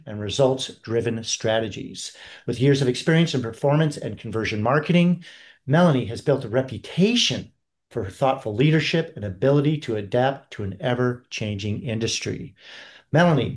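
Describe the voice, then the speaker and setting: A man's voice: medium pitch, darkish tone. A man hosts a business interview podcast. He opens by reading a formal introduction of his guest. His questions run long and loop through several parts before he lands on the point. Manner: rambling multi-part questions. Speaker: podcast host.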